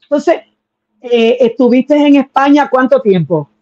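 A middle-aged woman pastor hosting an interview over a video call, enthusiastic and quick to exclaim praise. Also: sits high, expressive, mellow. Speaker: pastor and show host. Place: home room with flags and pictures. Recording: dull lo-fi video call audio.

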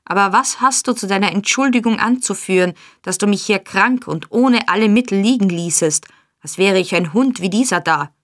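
A woman reading an audiobook, voicing a brutal male burglar who blusters and accuses, raised in pitch and loud. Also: animated, normal speed, natural voice. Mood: angry. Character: brutal, surly male burglar, angry and demanding. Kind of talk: audiobook character voice.

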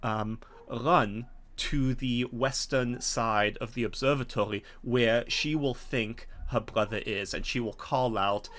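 A man's voice: medium pitch, slow, hardly any pauses, fairly bright tone. A middle-aged man narrating as game master, explaining things in hesitant phrases. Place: indoor home study, video call.

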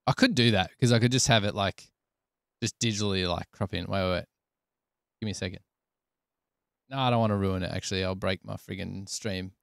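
An Australian man chats casually to his livestream. His voice is lowish and clear in a dry studio sound, and he rambles along with slang and jokes. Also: brisk, pauses often, dry recording. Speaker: live streamer, sound editor. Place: small indoor studio. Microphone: close desk microphone.

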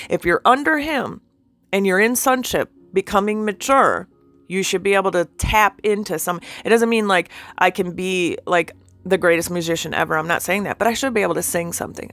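A woman's voice: fairly low pitch, a bit bright. A woman with a nasal, sinusy voice giving an informal spiritual teaching. She talks earnestly and keeps checking in with the listener.

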